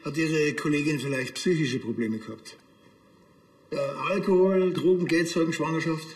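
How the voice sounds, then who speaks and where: A man's voice: on the high side, normal speed, distant mic, a little hiss or hum, close to an everyday voice. An actor playing a flippant, tactless Bavarian policeman in a TV crime comedy, dry and offhand. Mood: dry, probing. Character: flippant, tactless small-town male policeman. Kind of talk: naturalistic TV crime comedy acting.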